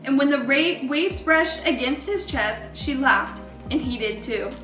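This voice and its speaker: A woman reading a children's story aloud in an expressive storytelling style, with deliberate, emphatic wording. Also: high-pitched, echoey room, far from the mic, music in the background.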